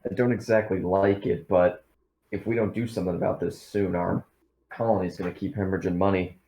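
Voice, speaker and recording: Male tabletop roleplayer, fairly low voice, casual conversational delivery, in character. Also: steady tone, a little fast, mellow, reverberant, slight background noise.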